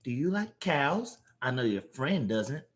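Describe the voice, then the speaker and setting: A man's voice: mid-range voice, big pitch swings, measured pace, pitched above the performer's own voice. A man voicing an eccentric old country grandmother in a comic story, homely, doting and tough. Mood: sweet, unbudging.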